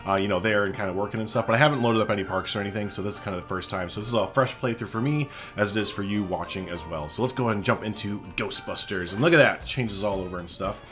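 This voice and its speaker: A man presents a let's-play in a fairly low voice. He talks informally, as if chatting to viewers, and drops in jokey asides. Soft music plays underneath his commentary. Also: quick pace, few pauses. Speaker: gaming video host.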